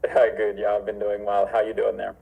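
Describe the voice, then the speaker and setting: A man's voice: on the low side, completely flat delivery, moderate pace, vocal fry, mellow, breathy edge, low-quality recording. A man from Zimbabwe, a martial arts instructor, speaking earnestly and formally on a remote video call from his home. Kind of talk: remote interview conversation. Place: home with a library.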